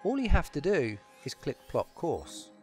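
A man's voice: a little high, wildly swooping pitch, unhurried, darkish tone. A man presenting a product demonstration, mixing scripted promotional narration with spoken commands. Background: music behind the voice.

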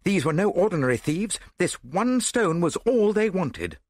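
A man voicing an earnest, impatient gentleman sidekick in an audiobook, urgent and politely tactless. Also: high voice, big pitch swings, moderate pace, dry recording, studio quality, pitched above the performer's own voice. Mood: excited, urgent.

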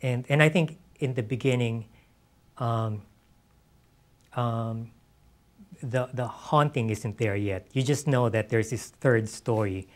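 A middle-aged man, an author answering questions on stage, speaking haltingly and repeating his words and phrases. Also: medium pitch. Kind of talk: unscripted Q&A talk. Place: on stage in an auditorium with an audience. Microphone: lapel mic.

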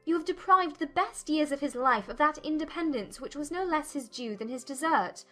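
Indignant young period gentlewoman, played by a woman, high-pitched, formal and accusing, audiobook drama. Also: lively intonation, moderate pace, soft background music. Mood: angry. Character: spirited, indignant young gentlewoman refusing a suitor.

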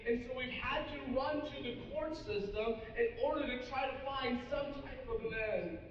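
A man's voice: very high voice, a little hiss or hum. A middle-aged man preaching a sermon to his congregation, speaking earnestly and addressing them directly, with frequent restarts. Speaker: pastor. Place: large church auditorium with a seated congregation. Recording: echoey, muffled hall audio from a distance.

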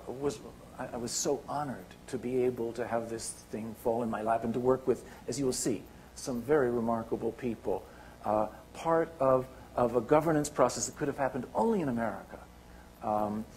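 An older man gives a keynote talk in a lecture hall, pointing at slides as he goes. His pitch sits in a middle register, and he talks haltingly, full of restarts and fillers. A faint hiss of noise runs under his voice. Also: darkish tone. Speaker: keynote speaker.

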